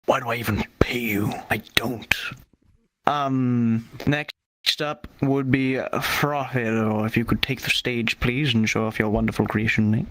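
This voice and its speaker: A man's voice: mid-range voice, steady tone, measured pace, fairly bright tone, no room sound, poor audio. A man hosting a community meetup who introduces presenters with playful jokes. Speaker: event host. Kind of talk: casual hosting.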